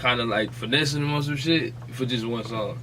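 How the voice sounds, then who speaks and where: Slangy American man, mid-pitched, faint noise. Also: brisk, a bit bright, rough recording. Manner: conversational, slangy, reasoning aloud. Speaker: podcast co-host. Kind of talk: casual slangy podcast chat.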